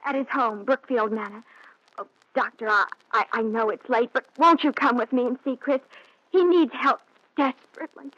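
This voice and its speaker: Casting: pleading woman in her early twenties, higher register, 1940s radio drama sound. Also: moderate pace, fairly bright tone, dry recording. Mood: anxious, pleading. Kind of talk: 1940s radio thriller acting. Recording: muffled 1940s radio recording.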